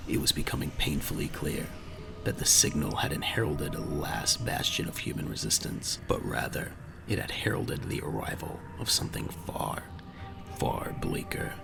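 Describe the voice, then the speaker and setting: A man narrating a scripted audio drama in a dramatic way, with a gravelly voice. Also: very deep voice, sing-song, normal speed, whispered, music in the background.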